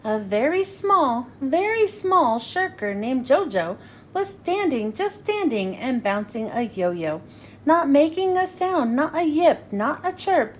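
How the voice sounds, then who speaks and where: A woman reading a rhyming picture book aloud to children in an expressive storytelling style, acting out the dialogue. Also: high-pitched, slow, a little hiss or hum. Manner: expressive, acts out character dialogue.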